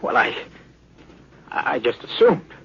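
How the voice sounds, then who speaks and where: An actor playing an alien infiltrator posing as a lieutenant in a 1950s radio drama, formal and controlled. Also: male voice, medium pitch, sing-song, slow pace, gravelly, husky, heavily acted. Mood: guarded, evasive. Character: male alien infiltrator posing as lieutenant, defiant, coolly superior. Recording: very noisy, muffled old radio.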